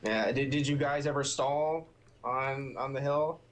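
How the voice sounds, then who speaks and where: A man's voice: medium pitch, steady tone, a little slow. A man bantering as a guest on a live stream, joking and laughing often. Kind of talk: casual banter.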